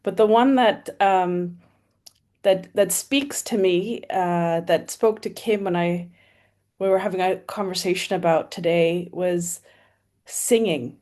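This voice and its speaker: A woman sharing in an online circle, speaking haltingly as she searches for words. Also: lowish voice, darkish tone. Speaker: participant.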